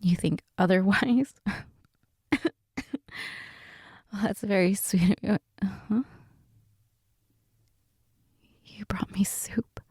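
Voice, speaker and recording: A woman playing a sick, flustered, reluctant middle-aged mother in an intimate audio roleplay, soft-spoken and halting, often slipping into a breathy whisper. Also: medium pitch, fairly even intonation, no room sound, studio quality. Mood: reluctant, touched.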